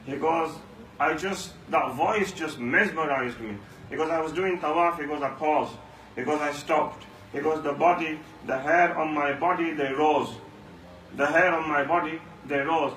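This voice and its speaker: A man's voice: fairly high pitch, a little slow, fairly bright tone. A man giving a Ramadan talk at a mosque, telling stories and repeating lines for emphasis. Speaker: mosque speaker. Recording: echoey room, mic far off, faint hum.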